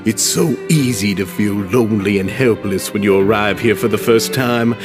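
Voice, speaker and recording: An actor playing an earnest, courteous club founder in a video game, who speaks in a deferential, upbeat and slightly flowery way. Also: male voice, lowish voice, steady tone, moderate pace, over music, natural voice. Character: earnest middle-aged male club founder, courteous and idealistic.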